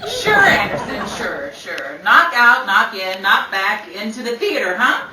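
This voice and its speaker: Woman playing brassy 1930s stage star, high animated voice, bossy, sarcastic, wisecracking, old-time radio comedy, roomy noisy recording. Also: a little slow, distant mic. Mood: bossy, brisk.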